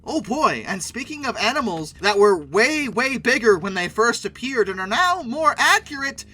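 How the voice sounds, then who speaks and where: A man with a high and strikingly bright voice delivers sarcastic commentary as a video host. His pitch swings in a lively way, and he stretches words for wry emphasis. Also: normal speed. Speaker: host of a dinosaur video channel.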